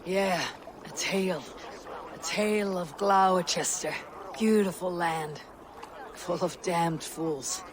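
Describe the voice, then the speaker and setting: A woman's voice: lowish voice, takes it slowly, background noise. A woman playing a stoic, wry Viking warrior in a dramatic game cutscene, with terse delivery. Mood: wry, warm.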